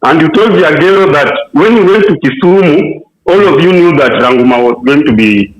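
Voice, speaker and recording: A man's voice: a little high, expressive, highs cut off. Kenyan man on an echoey speakerphone. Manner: argumentative, rapid bursts, frequent fillers. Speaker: radio caller. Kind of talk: call-in opinion.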